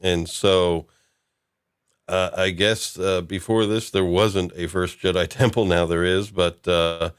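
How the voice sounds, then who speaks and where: A man with a lowish voice hosts a loose podcast chat. He rambles, restarts his sentences and chuckles as he goes. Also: fairly even intonation, takes it slowly, clean tone, dry recording, clean studio sound. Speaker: podcast host. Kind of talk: casual podcast discussion.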